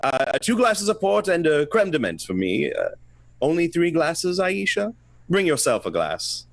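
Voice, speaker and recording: A man's voice: on the high side, wildly swooping pitch, a little slow, creaky, no echo, heavily acted. A man playing a polite, evasive English expatriate in a comic radio play, hesitant and theatrical.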